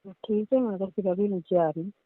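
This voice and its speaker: A woman's voice: mid-range voice, fairly quick, close to an everyday voice. A woman playing a young, compliant and coy daughter-in-law in a casual phone-call role-play, giving brief agreeable replies and hums. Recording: tinny phone-line call recording.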